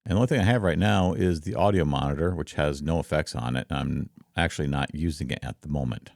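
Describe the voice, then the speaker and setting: A man with a very deep, rumbling voice, explaining things casually and conversationally to viewers, close on a studio microphone. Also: fast talker, vocal fry, a little mellow, dry recording. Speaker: content creator, streamer. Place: home office.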